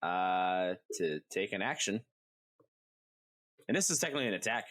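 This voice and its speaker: A man's voice: low-pitched, lively intonation, normal speed, fairly bright tone. A man playing a tabletop role-playing game on a podcast, chatting casually with playful, salty ribbing.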